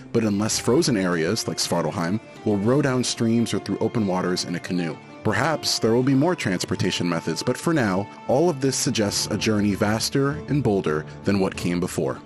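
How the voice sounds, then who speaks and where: A man reading scripted narration as a games journalist, with music behind him. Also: fairly low pitch.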